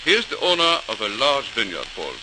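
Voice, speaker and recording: An actor playing a skeptical, fussy company boss who repeats himself, in 1940s radio drama style. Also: male voice, medium pitch, wildly swooping pitch, brisk. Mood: formal. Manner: hesitant, repetitive, dismissive. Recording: noisy crackling old radio recording.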